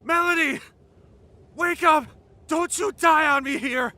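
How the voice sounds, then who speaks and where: Man acting a very high-pitched, urgent teenage boy. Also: takes it slowly, sharp tone, full character voice. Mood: alarmed. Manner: urgent, emphatic delivery. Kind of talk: amateur dramatic script read.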